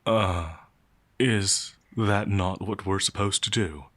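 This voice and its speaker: Timid werewolf outlaw, male performer: low register, unhurried, flustered and hesitant, soft-natured, fantasy audio roleplay. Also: no room sound, heavily acted. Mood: nervous, flustered. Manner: hesitant, stammering, apologetic, flustered. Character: gentle, timid, anxious male werewolf outlaw, easily frightened.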